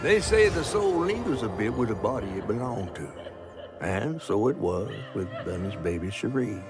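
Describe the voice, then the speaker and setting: Expressive folksy storyteller. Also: male voice, on the low side, moderate pace, over music. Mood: reflective storytelling. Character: male storyteller of Southern folklore game. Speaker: narrator. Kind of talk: scripted folktale narration.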